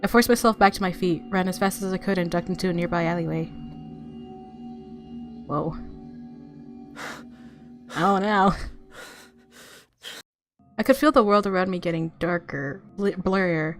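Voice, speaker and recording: A woman's voice: lowish voice, steady tone, a little slow, long pauses. A woman hosting a gaming video, reading visual novel narration aloud expressively and reacting casually. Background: game music.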